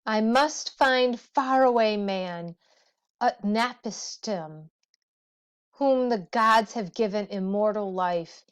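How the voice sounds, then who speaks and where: A woman's voice: mid-range voice, slow pace, clear, resonant tone, lightly acted. A woman voicing a weary, grieving male hero king from an ancient legend, declaiming earnestly in a storybook reading. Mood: anguished grief. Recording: dull, muffled lo-fi audio.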